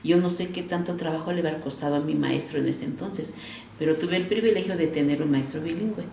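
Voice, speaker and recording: A woman from Mexico, a teacher whose first language is Otomi, recounting her schooling in a measured, hesitant way. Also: sits low, creaky, dark tone, reverberant, slight background noise. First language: Otomi (Hñähñu). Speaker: teacher, interviewee.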